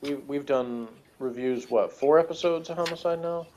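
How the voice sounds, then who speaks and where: A man's voice: on the high side, normal speed, a little mellow, a little hiss or hum. A man chatting casually as co-host of a review show, explaining himself in a hesitant, self-qualifying way. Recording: slightly dull, lo-fi sound.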